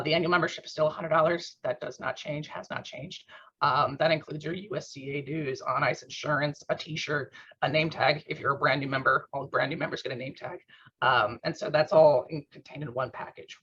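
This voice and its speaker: A man explaining club updates in an online meeting, talking informally with lots of fillers, his voice rough and strained after a workout. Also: fairly high pitch, bright, forceful tone. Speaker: club board secretary. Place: at home on a video call. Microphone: computer mic on a video call. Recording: muffled video call audio.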